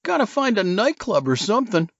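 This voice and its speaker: Man acting young surfer dude, high voice, swooping pitch, slangy, restless, mocking, audiobook character. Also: very animated, fairly quick, gravelly, a bit bright, no room sound, muffled recording, full character voice. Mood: irritated. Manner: slangy, edgy.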